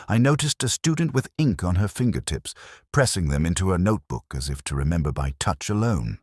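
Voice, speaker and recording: Male actor as brooding older detective for audio drama narration; gravely low register with expressive swings, ruminative and measured. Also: no echo, clean studio sound. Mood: pensive, sombre. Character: ageing, reflective, melancholy English police detective. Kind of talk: literary first-person detective monologue.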